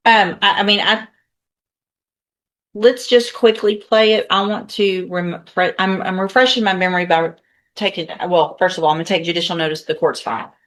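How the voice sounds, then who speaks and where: Lowish-voiced female judge. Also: fairly even intonation, normal speed. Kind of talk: courtroom rulings and questions. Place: courtroom.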